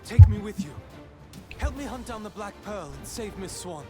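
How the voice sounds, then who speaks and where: A man's voice: high-pitched, animated, moderate pace. An actor playing an earnest, urgent young man in a video game cutscene, speaking rather formally. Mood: demanding. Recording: game audio with music behind.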